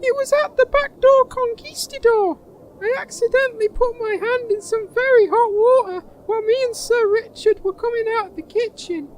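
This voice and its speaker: A man's voice: very high-pitched, moderate pace, a little hiss or hum, poor audio. A man playing an eager, polite, naive young boy sidekick in a comedy fantasy audio drama. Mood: sheepish, earnest. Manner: deferential, chirpy, earnest.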